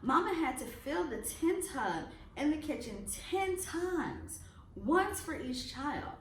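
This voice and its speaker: A woman reading a children's picture book aloud as a storyteller, expressively and with deliberate emphasis on phrases. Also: high-pitched, big pitch swings. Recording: roomy echo, distant mic, faint noise.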